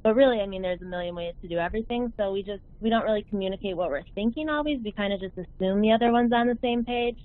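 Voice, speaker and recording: A woman's voice: medium pitch, fast talker, few pauses. Woman, Midwestern accent, radio caller, friendly, thinks aloud, tinny phone sound. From American Midwest. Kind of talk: phone-in question.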